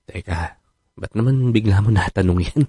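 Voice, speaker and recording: An actor with a Filipino accent playing a defensive, easily rattled husband in a radio drama, conversational and expressive. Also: male voice, lowish voice, moderate pace, breathy edge, no echo, studio quality. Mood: startled, upset.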